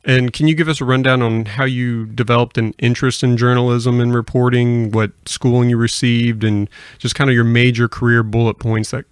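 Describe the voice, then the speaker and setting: A man's voice: mid-range voice, little pitch movement, brisk, hardly any pauses, clear, resonant tone, dry recording. Male podcast interviewer, conversational and rambling, level intonation, dry sound. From US Gulf Coast. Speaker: podcast host.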